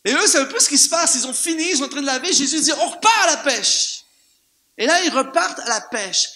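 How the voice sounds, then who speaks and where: Animated Canadian French preacher, high bright voice. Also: male voice, fairly quick. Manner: animated, repeats words for emphasis, rhetorical questions. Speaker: guest preacher. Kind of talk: lively sermon. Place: church, before a congregation.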